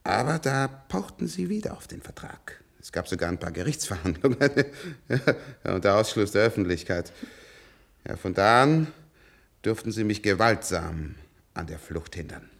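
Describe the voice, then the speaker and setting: An actor playing a weary, bitter old professor in a radio play, halting and emphatic as he speaks. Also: low voice, lively intonation, vocal fry, fairly bright tone, heavily acted. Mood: bitter recollection. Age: older. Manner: halting, repeating words, emphatic. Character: weary, bitter old professor, captive of medicine, longing for death. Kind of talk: German radio play acting.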